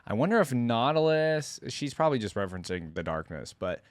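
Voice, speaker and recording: A man's voice: mid-range voice, sing-song, a little fast, no echo. A man doing casual reaction commentary, thinking aloud in laid-back, slangy language. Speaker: reaction video creator and commentator.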